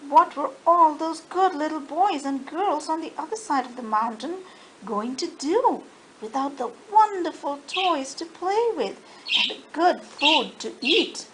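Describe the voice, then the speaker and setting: Slow, high-voiced woman reading to children. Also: animated, a bit bright, low-quality recording. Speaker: storybook reader for children. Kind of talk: expressive read-aloud narration. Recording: faint background noise.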